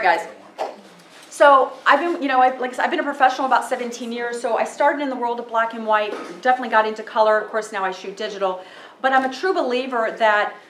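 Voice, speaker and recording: A loud, chatty woman in her forties talks through a handheld mic in a library meeting room. Her voice rings around the room over the speakers, with a faint hum behind her. She leans on fillers as she goes. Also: a little high, echoey room. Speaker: photographer giving a talk. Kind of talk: casual public talk. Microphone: handheld microphone through PA.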